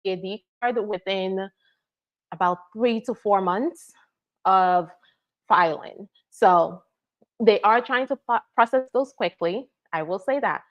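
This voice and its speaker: A woman from Nigeria, working as an immigration attorney, answers viewer questions in a relaxed live Q&A and keeps checking in with "right?" and "all right?". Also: medium pitch, lo-fi sound. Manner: explanatory, frequent "right?" and "all right?" check-ins.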